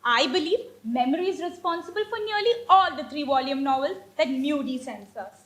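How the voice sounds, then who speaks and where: A woman's voice: sits high, lively intonation, fairly quick, a bit bright. A woman playing a romantic, pertly innocent young Victorian ward in a stage comedy, with an ingenuous, theatrical manner. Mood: dreamy, playful. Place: live stage play. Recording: echoey stage play recording.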